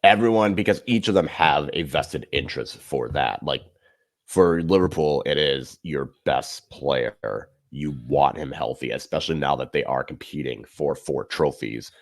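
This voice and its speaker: Man, very deep voice, casual football talk, rambling, dry close sound. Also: measured pace, no room sound. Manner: loose, rambling with fillers and restarts. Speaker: podcast co-host. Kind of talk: casual sports chat.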